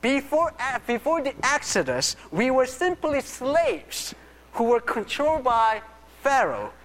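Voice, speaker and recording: A pastor acting out an anxious young Israelite, stammering, slow but lively pitch, echoing hall. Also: exaggerated voice. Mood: alarmed. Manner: fretful, stammering, speaks to the audience directly. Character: Joshua, anxious young Israelite man assisting Moses. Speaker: pastor acting out a biblical figure in first person. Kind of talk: first-person dramatic monologue in a sermon. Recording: echoey hall reverb.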